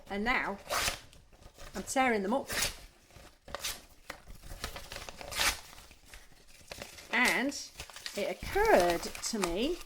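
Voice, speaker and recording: An actress playing an impulsive, scattered and stubborn woman in an audio drama, deadpan and earnest. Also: medium pitch, noisy background. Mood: reflective. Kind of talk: naturalistic audio drama acting.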